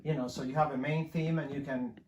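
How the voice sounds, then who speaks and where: A man from Argentina with an Argentine accent and Spanish as his first language tells anecdotes as an interview guest, halting and repeating words, often checking with "right?". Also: a little high, steady tone, darkish tone. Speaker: interview guest, composer and sound engineer. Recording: echoey room with faint background noise.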